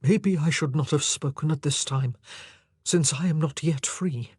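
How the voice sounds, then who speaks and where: A narrator voicing an anguished, impassioned and forceful male monarch in an audiobook drama. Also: medium pitch, unhurried, breathy edge, dry recording, clean studio sound. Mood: pleading, ardent.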